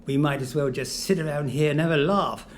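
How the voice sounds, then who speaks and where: A man's voice: on the high side, brisk, natural voice. A man playing a flippant, rueful ghost of a failed inventor, joking dryly in an audio drama. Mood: resigned. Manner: dry, joking, resigned. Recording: faint noise behind.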